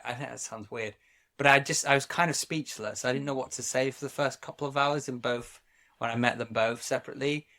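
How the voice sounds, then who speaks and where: Gravelly man, reflective podcast talk. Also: mid-range voice, bright, forceful tone. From Wales. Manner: reflective, halting, many restarts. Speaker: podcast co-host. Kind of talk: casual chat.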